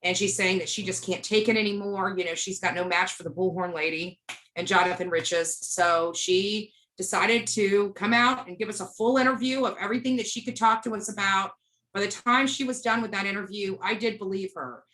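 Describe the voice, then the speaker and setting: A woman's voice: mid-range voice, steady tone, a little fast, fairly bright tone, poor audio. A woman being interviewed, telling a story casually in a blunt, emphatic way and acting out bits of dialogue as she narrates. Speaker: interview guest, street protester.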